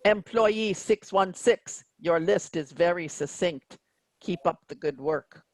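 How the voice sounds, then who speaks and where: A man voicing a cold, officious automated ship captain in formal, measured, humorless announcements. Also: high-pitched, no room sound, low-quality recording. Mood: cold, stern. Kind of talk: virtual staged reading of a sci-fi play.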